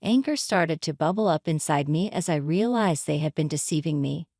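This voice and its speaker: Female story narrator, scripted first-person drama, very expressive intonation, dry recording. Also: low voice, moderate pace, no room sound.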